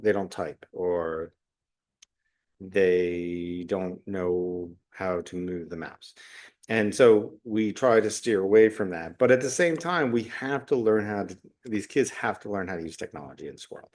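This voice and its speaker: A middle-aged man talking unscripted as a solo podcast host, reflective and halting, often pausing mid-phrase. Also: on the low side, a little mellow. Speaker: podcast host, special education teacher.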